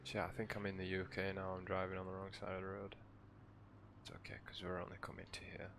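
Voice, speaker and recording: A man with a croaky, hoarse voice, giving relaxed, rambling commentary as he plays a farming game for his channel. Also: low voice, fast talker, noisy background. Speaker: let's play host. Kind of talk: casual gameplay commentary.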